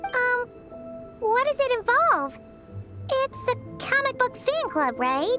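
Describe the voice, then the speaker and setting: Tiny, squeaky, chirpy fairy companion. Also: female voice, very animated, slow pace, over loud music, full character voice. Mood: hesitant, curious. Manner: refers to self in third person, exclamatory. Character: tiny childlike floating fairy companion, eager, chirpy, curious. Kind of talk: video game character acting.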